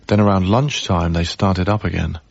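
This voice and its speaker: Low-voiced, wry young male lodger. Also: fairly even intonation, normal speed, gravelly, no room sound, lo-fi sound. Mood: reflective, wry. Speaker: actor narrating and playing the lodger. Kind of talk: radio drama first-person narration and dialogue.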